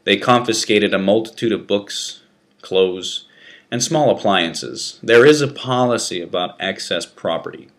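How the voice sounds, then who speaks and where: A man narrating a written first-person memoir as a scripted reading, with a deliberate, dramatic delivery. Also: on the low side, a bit bright.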